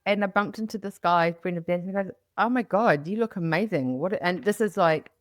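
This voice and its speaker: A woman's voice: on the low side, a little fast, dry recording, clean studio sound. A woman hosting a podcast in casual, chatty style, telling anecdotes and acting out what people said. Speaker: podcast host. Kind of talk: casual, chatty interview talk.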